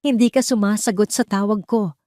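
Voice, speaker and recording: A woman voicing a gentle, worried young man who speaks with soft concern in an audio drama. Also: mid-range voice, fairly even intonation, a little fast, no room sound, studio quality, voice pushed up, lightly acted.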